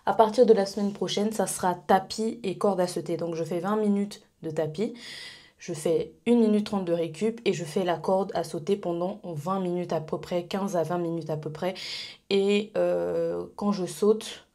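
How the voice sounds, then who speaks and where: Chatty young woman with a mellow voice. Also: fairly low pitch, steady tone, moderate pace. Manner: chatty, rambling, many fillers and restarts. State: stuffy from pollen allergy. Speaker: vlogger. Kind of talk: casual vlog chat to camera. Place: bedroom at home, then small residence gym.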